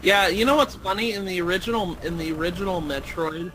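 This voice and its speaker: Bright, high-pitched man in casual gaming chat. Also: brisk, low-quality recording. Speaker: let's play co-commentator. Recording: faint background noise and ambience.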